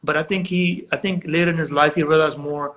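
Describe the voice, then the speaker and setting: Lively man explaining over a call. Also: a little high, racing. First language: Urdu. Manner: enthusiastic, explanatory, frequent restarts. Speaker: guest. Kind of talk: conversational interview.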